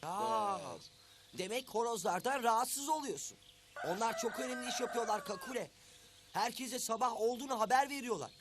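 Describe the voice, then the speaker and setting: A man's voice: extremely high pitch, moderate pace, background noise. A man voicing a friendly, hardworking handy animal in a children's cartoon, reasoning amiably. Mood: gently reproving.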